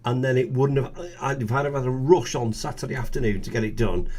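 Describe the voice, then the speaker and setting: A man in his fifties, co-hosting a casual chat show and talking in an animated, chatty way. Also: medium pitch, quick pace. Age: 50s. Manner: animated, chatty, restarts sentences. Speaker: co-host.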